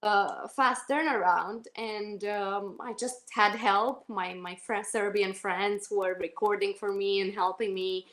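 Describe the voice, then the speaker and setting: Actress, mid-pitched, reflective interview guest who backtracks often; roomy recording. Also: female voice, fairly even intonation, slow, hardly any pauses, a bit bright. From Romania. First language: Romanian. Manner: thoughtful, self-correcting, many fillers and restarts. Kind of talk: casual interview answers. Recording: echoey room.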